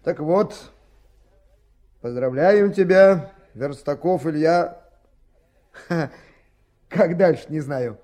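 A man's voice: on the high side, slow pace, long pauses, dark tone. An actor playing a kindly, practical crew foreman in a radio play, colloquial and fatherly. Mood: warm, solemn. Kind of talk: 1970s radio play acting.

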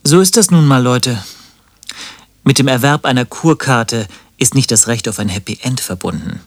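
An actor playing a wry, melancholic musician in a satirical radio play, speaking with theatrical, ironic lilt. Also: male voice, mid-range voice, moderate pace, creaky, dry recording. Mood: sardonic. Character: wry, melancholic male musician, mockingly lyrical.

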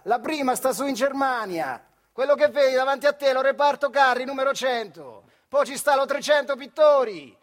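A man acts out a bossy male factory foreman on stage, full of boasts and orders. He speaks emphatically and coarsely in a high male voice with a central Italian Marche accent. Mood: proud, loud. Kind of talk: theatrical monologue in dialect.

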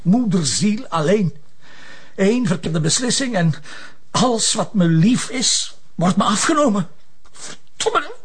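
An actor playing a hot-tempered, anguished police commissioner with a Flemish accent and a hoarse voice, curt and bitter. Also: male voice, high-pitched, sing-song, measured pace, heavily acted. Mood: desperate. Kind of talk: TV crime drama acting.

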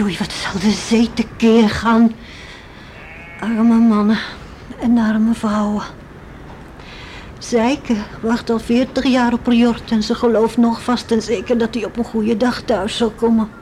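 A woman's voice: on the high side, a little mellow, close to an everyday voice. An actress playing a devout, worried farmer's wife and mother in a radio play, earnestly musing aloud to herself. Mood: worried, pitying. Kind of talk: Dutch radio play acting, monologue and dialogue. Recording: faintly noisy radio play recording.

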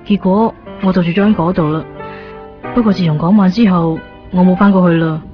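A woman's voice: on the low side, a little fast, natural voice. An actress with a Hong Kong accent playing an innocent, easygoing homeless young woman in a radio drama, casual and unfazed. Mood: matter-of-fact. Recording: dull 1990s radio drama recording. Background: faint background music.

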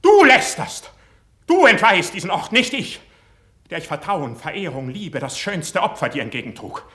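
A bright, forceful young poet, proud and easily wounded. He declaims classical verse for a radio play with fervour, able to move between hushed intensity and high passion. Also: very animated, moderate pace, exaggerated voice. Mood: outraged. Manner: declamatory, fervent, swinging from hushed to outraged.

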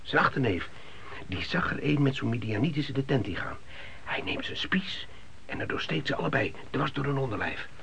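An actor playing a chatty, scornful young emigrant son in a radio drama, speaking colloquially with repeated words. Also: male voice, medium pitch, expressive, moderate pace, gravelly, bright, forceful tone. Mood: eager storytelling. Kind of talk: naturalistic radio drama dialogue. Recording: hissy old radio play recording.

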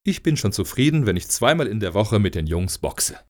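Actor as defiant, argumentative man, animated low voice. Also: brisk. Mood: combative. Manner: argumentative, provocative. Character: combative male activist promoting boxing for offenders, defiant, self-pitying. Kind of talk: satirical radio play dialogue.

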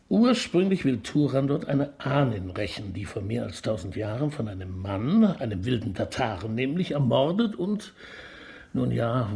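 An actor playing a polite, opera-loving police detective in a radio play, musing and probing gently in a roundabout way. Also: male voice, medium pitch, gravelly. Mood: musing, delicate. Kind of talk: naturalistic radio drama acting.

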